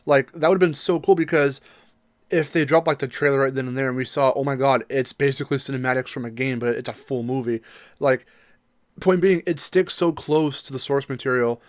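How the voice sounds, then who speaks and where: A man's voice: mid-range voice, fairly even intonation, fairly quick, no echo, clean studio sound. A man co-hosting a podcast, chatting casually in an informal, enthusiastic way. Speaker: podcast co-host. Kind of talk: casual chat about games and movies.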